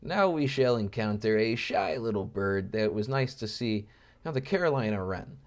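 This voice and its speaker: A man's voice: fairly low pitch, animated, normal speed. Casual, enthusiastic young male birding narrator. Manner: enthusiastic, informal, joking, educational. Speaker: amateur birder narrating wildlife footage. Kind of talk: casual nature commentary over footage.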